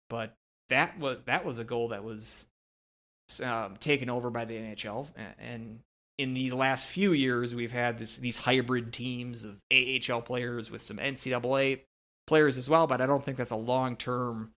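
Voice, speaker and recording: A man's voice: mid-range voice, fairly bright tone. A man co-hosting a sports podcast, talking casually about hockey in a hesitant, meandering way. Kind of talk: casual hockey commentary chat.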